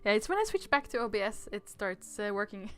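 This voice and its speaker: A woman's voice: mid-range voice, big pitch swings, brisk, fairly bright tone, smooth, soft background music. A woman on a livestream playthrough, chatting casually and reading aloud in a playful, giggly manner. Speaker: livestream game player.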